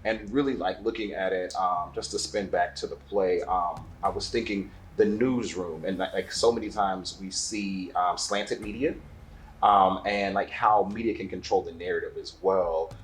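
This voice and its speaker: A man hosting a casual interview at a table with guests, upbeat and quick to repeat his praise. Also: deep voice, steady tone, a little hiss or hum. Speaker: interviewer, magazine host.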